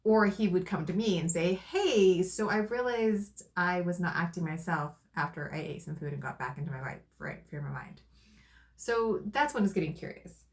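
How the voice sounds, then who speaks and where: A woman in casual conversation with her partner, thoughtful and soft-spoken. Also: lowish voice, expressive, normal speed. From Arizona, USA. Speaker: co-host.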